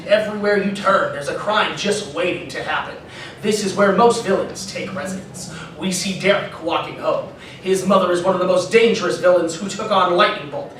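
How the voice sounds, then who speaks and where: A man delivers scripted comic story narration. His pitch is high for a man. The sound is distant and heavily echoed, with faint noise. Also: fairly bright tone. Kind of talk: scripted comedic story narration, read live. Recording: boomy echoing room, off mic, faint noise.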